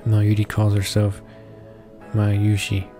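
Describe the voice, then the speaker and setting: A man doing a casual read-along of a visual novel, reading haltingly, stumbling over words and correcting himself, with asides of his own. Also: lowish voice, monotone, music in the background. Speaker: gaming video creator reading a visual novel aloud.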